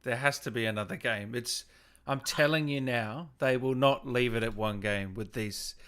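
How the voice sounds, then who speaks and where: A man's voice: medium pitch, moderate pace, fairly bright tone. A man hosting a casual livestream interview, chatting with a guest and reading out questions from viewers. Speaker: host, interviewer.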